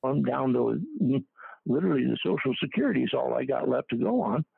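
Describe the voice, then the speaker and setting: An older man recalling his experiences in a casual, halting way, with a gravelly voice and many fillers. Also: mid-range voice, animated, no echo. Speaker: interviewee. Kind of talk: casual recollection. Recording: tinny phone-like line.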